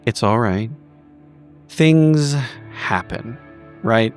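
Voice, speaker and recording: A man playing a small, frumpy, anxious woman in improvised roleplay, halting and self-justifying as the character explains. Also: mid-range voice, lively intonation, soft background music. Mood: strained, brittle calm.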